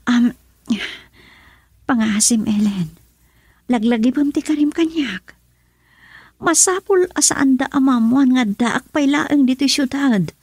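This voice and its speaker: A woman acting a troubled, secretive young woman in a radio drama, breathy and hesitant. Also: high-pitched, slow, pauses often, a little mellow, no echo, full character voice. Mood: pleading, defensive.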